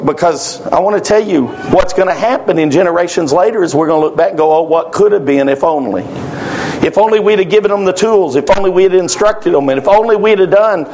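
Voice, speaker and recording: A man in his forties with a drawl delivers a sermon in a church. His voice sits a little high for a man, and he speaks in earnest, emphatic, repeated phrases. A faint hiss runs under the recording. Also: fast, a little mellow, rough recording. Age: 40s. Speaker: preacher.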